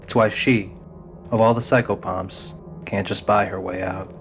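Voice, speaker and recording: Male narrator for a scripted audio drama, lower-pitched, reading prose with a prominent music bed underneath. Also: steady tone, measured pace.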